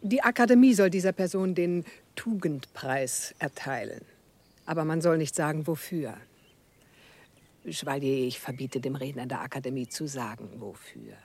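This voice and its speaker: A woman's voice: low-pitched, moderate pace, darkish tone, slightly rough. A woman playing a capricious Queen of France in a German radio drama, haughty, regal and commanding. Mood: imperious. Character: capricious, imperious Queen of France, grandly condescending.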